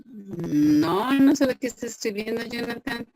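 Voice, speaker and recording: Slow-speaking woman teacher. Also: on the high side, darkish tone, dry recording, rough recording. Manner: patient, questioning, praises students by name. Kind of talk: online class lecture, calling on students.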